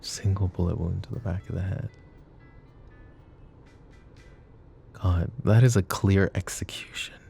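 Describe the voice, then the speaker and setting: Man acting a deep gravelly mafia boss, intimate and menacing. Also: steady tone, dark tone, slight background noise. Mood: quiet, probing. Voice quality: low, gravelly murmur. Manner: deliberate, coaxing, philosophizing. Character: flirty, possessive male mafia boss, calm and menacing. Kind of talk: intimate ASMR roleplay acting.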